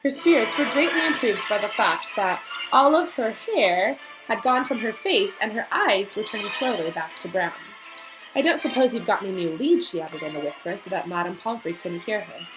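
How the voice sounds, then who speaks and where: A woman reading a children's novel aloud as narrator, in an expressive storytelling style. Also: medium pitch, normal speed, hardly any pauses, music in the background, poor audio.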